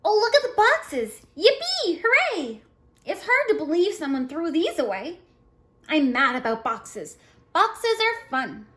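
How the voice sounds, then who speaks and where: A woman voicing an excitable, eager little girl from a storybook who loves to dress up. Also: very high-pitched, a little slow, voice pushed up, full character voice. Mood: gleeful, excited. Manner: exclaiming, eager.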